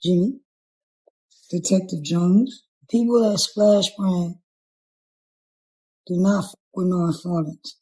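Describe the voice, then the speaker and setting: Husky, mellow woman calling someone out. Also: on the low side, slow pace, pauses often, low-quality recording. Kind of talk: accusatory street-talk rant.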